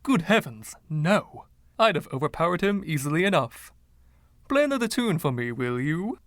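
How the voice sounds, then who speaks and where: A man's voice: a little high, very animated, moderate pace, no echo, studio quality. A man voicing a boastful, giggly 1920s dandy in a storybook reading, with a silky voice and theatrical, teasing old-fashioned slang. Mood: grand, playful.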